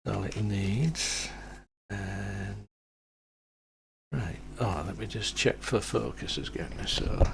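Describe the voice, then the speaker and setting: A soft-spoken middle-aged man, chatting casually and rambling through a workshop build, with sweary asides and mumbling as he works on a home-made mic. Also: lowish voice, steady tone, takes it slowly, vocal fry, pauses often, a little hiss or hum. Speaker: maker. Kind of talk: casual workshop chat while assembling. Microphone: home-made microphone.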